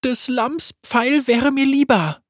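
A man voicing a frightened young actress who speaks in a high, stammering, theatrical way, with a husky tone. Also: slow, no echo, clean studio sound. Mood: trembling wistful. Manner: high, stammering, grand stage delivery.

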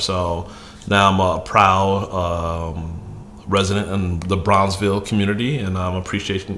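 A man in his forties whose first language is Yoruba, raised in Chicago, chatting as a podcast guest in a lively, joking way with many fillers. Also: low voice. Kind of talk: casual chat. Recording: echoey room with faint noise.

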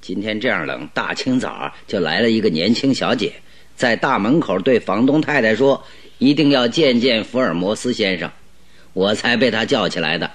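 An actor playing a calm, good-humoured detective in a Chinese radio drama, speaking politely and deliberately with a teasing streak. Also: male voice, fairly low pitch. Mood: amused, explaining.

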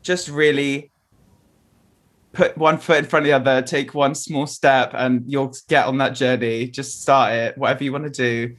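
Man, guest, earnest and encouraging, medium pitch, conversational. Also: steady tone, moderate pace, a bit bright. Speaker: interview guest. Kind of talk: candid conversational interview.

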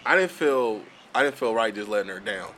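A man's voice: lowish voice, expressive, a little fast, sharp tone, slight background noise. A young man co-hosting a podcast, telling a story in a laid-back, profane way and chuckling as he goes. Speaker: podcast co-host.

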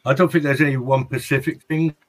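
A man's voice: mid-range voice, steady tone, quick pace. A man from Britain talking casually on a podcast in a plain-spoken, colloquial way. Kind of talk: casual chat.

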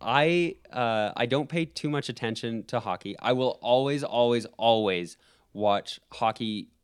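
Laid-back young Canadian man, clear voice. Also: mid-range voice, a little slow. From Alberta, Canada. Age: young adult. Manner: relaxed, laughs easily, frequent ums and restarts. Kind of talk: casual conversation.

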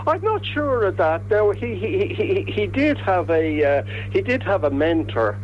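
A man from Ireland with a Dublin accent, a guest on a radio chat show, talking haltingly with many restarts. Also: high voice, big pitch swings, muffled recording, slight background noise. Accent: Dublin Irish.

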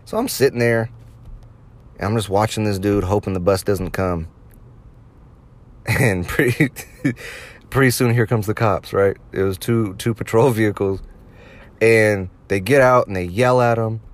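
A man's voice: on the low side, measured pace, pauses often. Casual, crude-talking male podcaster. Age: late 20s to early 30s. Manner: crude, swearing, amused by own stories. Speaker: podcast host. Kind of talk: casual anecdotal storytelling to listeners.

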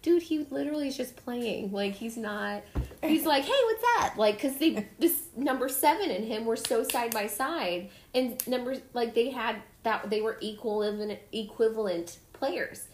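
A woman hosting a podcast, telling stories in a casual, animated way and acting out the people in them. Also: a little high, measured pace, slight background noise. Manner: animated storytelling, acts out kids' voices. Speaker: podcast host. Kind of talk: casual chat. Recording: echoey room.

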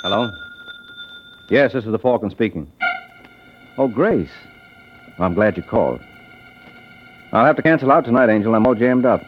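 An actor playing a wry, unflappable private detective in 1950s radio style, tossing off wisecracks. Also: male voice, fairly low pitch, expressive, moderate pace, pauses often, close to an everyday voice. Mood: breezy, put out. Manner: wisecracking, calls women "Angel". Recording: dull 1950s radio recording, faint noise.

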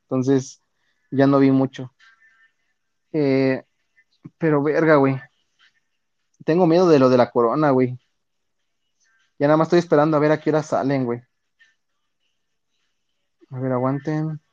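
A man's voice: medium pitch, fairly even intonation, a little slow, long pauses, a little mellow, dry recording. A man with a Mexican accent streaming, chatting casually with viewers in slangy, profane speech. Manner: slangy, profane, reads and answers chat. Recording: dull lo-fi dry sound.

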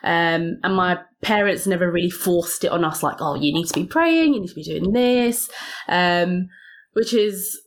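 A woman, a podcast guest, speaks reflectively and hesitantly, with many pauses and fillers. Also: fairly low pitch, lively intonation. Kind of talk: reflective personal interview.

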